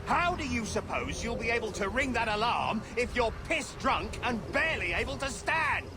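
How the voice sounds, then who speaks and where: An actor playing an irate, imperious guard officer in a video game, commanding and scolding the men under him. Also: male voice, extremely high pitch, big pitch swings, moderate pace, exaggerated voice. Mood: angry. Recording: loud ship and outdoor ambience noise.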